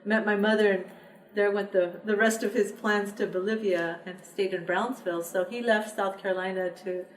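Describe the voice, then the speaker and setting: A woman's voice: medium pitch, steady tone. Woman guest from the Texas border, reflective, roomy sound off-mic. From Texas border region. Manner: thoughtful, measured, with frequent restarts. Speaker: interview guest, poet and publisher. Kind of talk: reflective conversational interview. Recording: echoey room, distant mic.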